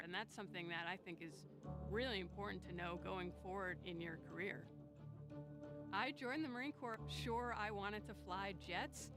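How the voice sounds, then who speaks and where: Earnest woman astronaut, music behind. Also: medium pitch. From New York, USA. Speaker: astronaut commander. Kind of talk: recorded personal profile. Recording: music bed under voice.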